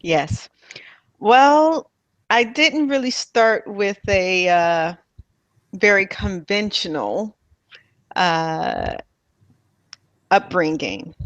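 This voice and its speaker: A woman from Miami being interviewed about her childhood, speaking softly and thoughtfully with pauses and light laughs. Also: medium pitch, sing-song, no echo. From Miami, USA. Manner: soft-spoken, thoughtful, with pauses and fillers. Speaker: interviewee, spiritual healer. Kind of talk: reflective personal interview.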